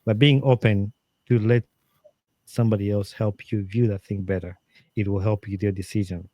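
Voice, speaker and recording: A man with a slightly low voice talks earnestly and animatedly as a podcast guest. He restarts often and fills pauses with "um" and "like". The sound is dry and close, with little room in it. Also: steady tone, no echo, muffled recording. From Uganda. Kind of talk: casual interview talk, giving advice.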